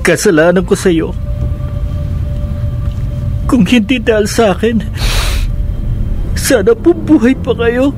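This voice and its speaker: A woman's voice: medium pitch, close to an everyday voice. A woman voicing a frightened, guilt-ridden young boy in a radio horror drama, pleading and fearful. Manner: pleading, fearful inner monologue. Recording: radio drama with loud music bed. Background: music.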